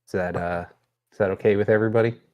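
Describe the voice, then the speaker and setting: A man's voice: fairly low pitch, fairly even intonation, fast talker, highs cut off. A man chatting casually as a player in a tabletop roleplaying game.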